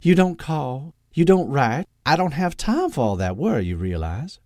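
A man's voice: fairly high pitch, sing-song, normal speed, a little mellow, no echo, clean studio sound, pitched above the performer's own voice. A man voicing a formidable Southern belle mother in her sixties, with a sing-song, theatrical and imperious manner. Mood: grandly charming. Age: 60s.

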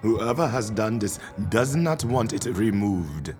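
Slow, theatrical mystic curse remover. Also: male voice, on the low side, lively intonation, a little mellow, soft background music. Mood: ominous warning. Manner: theatrical, cryptic, formal phrasing with dramatic pauses. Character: mysterious theatrical male voodoo-like curse remover, wry and ominous. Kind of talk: radio drama acting.

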